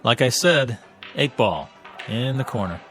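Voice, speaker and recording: A man's voice: medium pitch, expressive, unhurried, a bit bright, faint music behind. A man acting as a cocky, proud young pool hustler in a radio drama, talking in an offhand, self-assured way. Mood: sly, casual.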